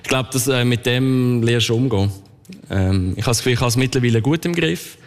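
A man with a Swiss German accent, a circus juggler, speaking calmly and modestly in an interview. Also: medium pitch, fairly quick, long reverb tail, faint music behind. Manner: calm, modest, thoughtful. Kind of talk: interview chat.

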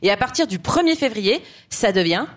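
A woman's voice: medium pitch, big pitch swings, normal speed. Playful jokey woman panelist. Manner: playful, jokey, teasing asides. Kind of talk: comic bluffing quiz answer.

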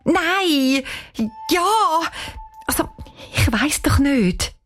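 A woman voicing a quick-witted, nervous young girl in a children's audio story. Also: high voice, big pitch swings, pitched above the performer's own voice. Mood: unsure.

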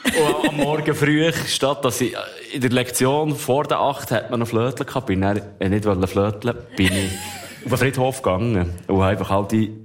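A man's voice: mid-range voice, moderate pace. A man in his thirties with a Swiss accent, chatting casually and telling anecdotes as a guest. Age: 30s.

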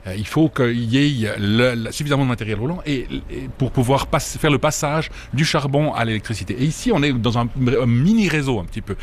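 A man's voice: mid-range voice, animated, brisk, few pauses, slight background noise. A man, a co-host on a radio show, explaining technical details carefully.

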